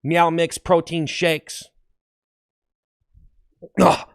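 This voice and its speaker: A man's voice: fairly high pitch, flat delivery, very slow, gravelly, smooth, dry recording, clean studio sound, full character voice. A man voicing a boastful, muscular little cat that taunts people at the gym in a comedy skit. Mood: taunting, bossy. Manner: boastful, taunting, repetitive, meowing.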